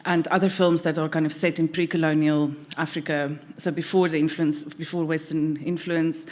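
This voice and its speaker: A woman film scholar on a panel who speaks in a measured, academic way and repeats words while she thinks. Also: low-pitched, level tone, darkish tone. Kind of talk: panel discussion.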